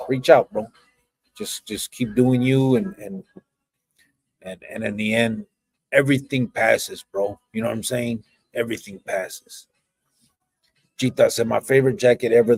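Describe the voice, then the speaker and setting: A middle-aged man hosting a casual livestream podcast, with a raspy, gravelly voice and a blunt, profane, streetwise way of talking. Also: fairly low pitch, darkish tone, no room sound, poor audio. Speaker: podcast host.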